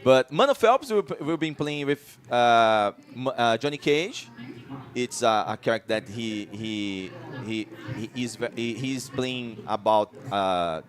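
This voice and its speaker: A Brazilian man with a Portuguese accent co-commentating a fighting-game tournament in halting second-language English, full of restarts and fillers. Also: medium pitch, animated. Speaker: esports co-commentator.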